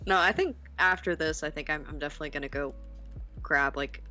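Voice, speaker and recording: A young woman in her twenties with a deep voice, chatting casually and rambling on a live stream. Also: a little fast, sharp tone, soft background music. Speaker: streamer guest.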